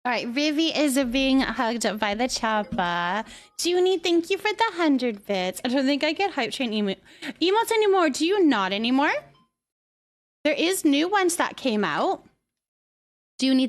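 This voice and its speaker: A woman with a Canadian accent chatting casually to viewers on a livestream, effusive and affectionate, often repeating words for emphasis. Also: sits high. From British Columbia, Canada.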